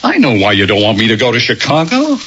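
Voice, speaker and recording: Lively self-assured radio-drama husband. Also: male voice, mid-range voice, fast talker. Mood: reasoning, reassuring. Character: upbeat, self-assured husband, a newly promoted executive. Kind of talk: old-time radio drama acting. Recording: muffled, noisy old radio broadcast.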